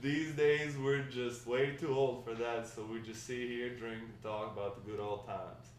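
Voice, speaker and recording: A talkative old grandfather played by a man reminisces in a conversational, hesitant way at a drama table read. He is warm and encouraging, with a mid-pitched voice. The recording is very echoey and distant from the mic, with faint noise. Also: fairly even intonation, lightly acted. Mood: nostalgic. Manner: conversational, rambling storytelling with hesitant pauses. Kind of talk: amateur table read of a script.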